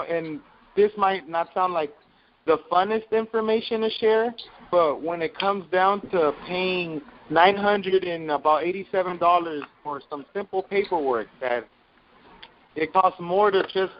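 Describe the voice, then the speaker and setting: A man in his twenties giving a casual personal account on a group call, halting and slangy as he goes. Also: a little high. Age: 20s. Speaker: call guest, young entrepreneur. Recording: muffled noisy conference call.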